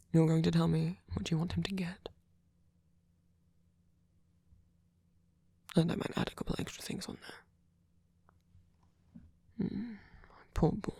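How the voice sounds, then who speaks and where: A man playing a gentle, doting centuries-old vampire boyfriend in an ASMR roleplay, speaking softly and haltingly, often in a whisper. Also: sits high, no echo, full character voice. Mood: doting, reassuring. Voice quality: soft, breathy, often whispered. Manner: halting, polite, fussing, softly reassuring.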